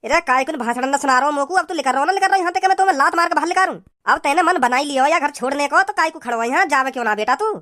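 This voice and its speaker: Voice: sits high, very fast, hardly any pauses, bright, forceful tone. A performer voicing an angry, scolding village father in a comedy cartoon, with a Braj accent and a dismissive, repetitive nagging manner. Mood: furious. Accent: Braj, western Uttar Pradesh.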